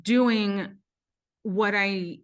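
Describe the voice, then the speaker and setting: A woman's voice: medium pitch, fairly even intonation, drawn-out words. A woman from Brazil, a podcast guest, telling her life story candidly and earnestly, with frequent ums and restarts.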